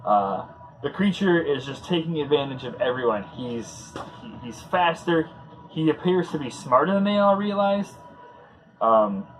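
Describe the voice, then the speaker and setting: A man hosting a casual read-along commentary, talking in a halting, conversational way as he searches for words. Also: on the high side, a little hiss or hum, poor audio. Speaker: host.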